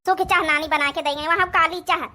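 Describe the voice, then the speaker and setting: A woman acts out an old village mother-in-law from a comedy cartoon, speaking with an Awadhi accent. She is extremely high and piercingly bright in tone. She grumbles and nags with sarcastic bite. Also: fairly even intonation, quick pace. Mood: bitter grumbling. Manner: complaining, sarcastic, nagging.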